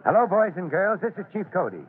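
An actor playing a steady, fatherly fire chief in a measured, authoritative way in an old radio drama. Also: high-pitched. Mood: instructive. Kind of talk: 1940s radio drama acting. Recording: tinny, band-limited old radio recording.

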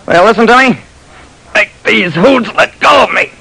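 An actor playing a big, urgent gambling boss in an old radio drama, forceful and commanding, on a noisy recording. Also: male voice, high voice, lively intonation, moderate pace, gravelly, husky, full character voice. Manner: forceful, commanding, pleading his innocence.

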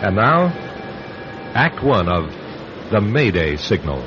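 Suspense radio: ominous narrator, deep voice, dull vintage broadcast, prominent music behind. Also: male voice, very animated, takes it slowly. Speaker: announcer and narrator.

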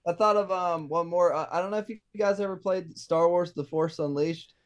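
Man on a podcast, hesitant, filler-heavy, slightly higher voice. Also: normal speed, clear, resonant tone, dull audio. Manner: hesitant, lots of ums and likes. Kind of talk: casual podcast chat.

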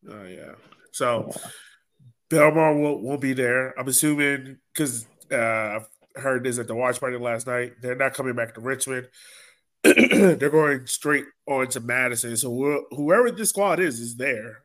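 A man's voice: mid-range voice, measured pace, a bit bright. A man hosting a soccer podcast, talking casually in a rambling way and often repeating himself. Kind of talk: casual sports discussion.

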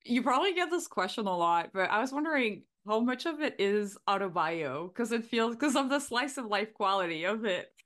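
A woman's voice: on the high side, lively intonation, normal speed, a bit bright. A young woman co-hosting a podcast, bubbly and chatty, laughing often. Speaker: podcast co-host. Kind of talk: casual chat.

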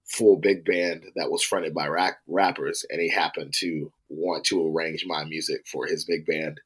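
A man's voice: very deep voice, steady tone, normal speed, a bit bright. A man co-hosting a casual music podcast, talking about musicians he works with and laughing often. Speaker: podcast co-host. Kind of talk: casual chat.

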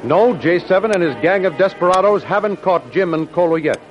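Male radio announcer, fairly high voice, emphatic, declamatory, dull vintage recording, faint music. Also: lively intonation, a little fast. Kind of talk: 1930s radio serial announcing and promotion.

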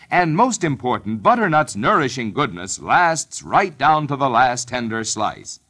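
A man reading an emphatic, polished sales pitch in an old-time radio announcer style. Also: a bit bright. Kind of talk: 1940s-50s scripted radio sales pitch.

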